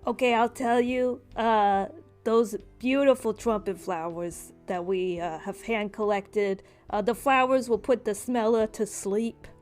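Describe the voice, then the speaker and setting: A woman's voice: on the high side, steady tone, unhurried, darkish tone, faint music behind. A woman improvising as game master and explaining things conversationally in a tabletop roleplaying game. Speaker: game master voicing a shopkeeper in a tabletop RPG podcast.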